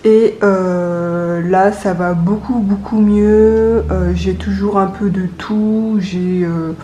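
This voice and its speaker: A young adult woman with a cold and a stuffy nose, chatting casually to camera, with many hesitant pauses. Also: mid-range voice, steady tone, mellow, echoey room, lo-fi sound, a little hiss or hum. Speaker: budgeting vlogger.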